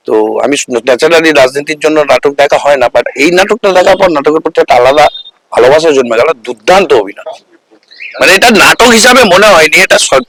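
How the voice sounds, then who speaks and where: A man's voice: on the high side, fairly bright tone, poor audio. A man giving an enthusiastic audience reaction in a casual interview. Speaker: audience member. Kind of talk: enthusiastic vox-pop reaction.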